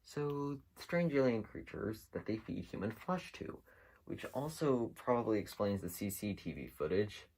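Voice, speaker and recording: A man talks through his commentary in a very low voice with a heavy fry. He hosts casually and without a script, taking his time and often pausing as he rambles from thought to thought. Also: lively intonation, a little mellow, slight background noise, rough recording. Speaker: host of an internet mystery series. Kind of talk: casual unscripted video commentary.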